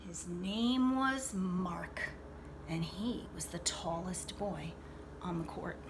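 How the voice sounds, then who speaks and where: A woman reading a picture book aloud to children like a teacher, expressively, pausing to explain and check in with her young listeners. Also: lowish voice, noisy background. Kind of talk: read-aloud storytelling with asides to young listeners.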